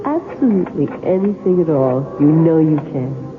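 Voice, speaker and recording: A woman's voice: deep voice, expressive, normal speed. An actress voicing a seductive, adoring imaginary woman in a 1970s radio drama, cooing breathily and languidly. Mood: seductive, flattering. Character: seductive, adoring imaginary female character, possessive flatterer. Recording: muffled radio broadcast with music.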